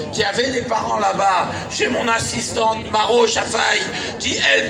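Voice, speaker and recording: A man giving an impassioned political speech at a rally, forcefully shouting and repeating phrases for emphasis. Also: very high-pitched, creaky. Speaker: guest speaker at a rally. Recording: echoey outdoor PA, distant, crowd around.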